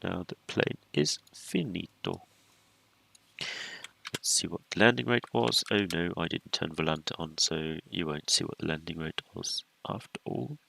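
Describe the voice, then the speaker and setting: A man's voice: deep voice, unhurried, vocal fry, pushed and bright. A man giving casual running commentary while flying a simulated plane, calling out checklist steps with playful asides. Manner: talks through checklists and landings step by step, playful asides. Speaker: flight simulator streamer.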